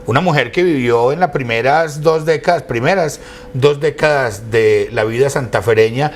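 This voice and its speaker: A man's voice: medium pitch, normal speed. A man from Quindío with a Colombian accent, telling stories as an interview guest in formal, eloquent, elaborate phrases. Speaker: interview guest, academic and radio station director. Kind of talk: conversational storytelling in an interview.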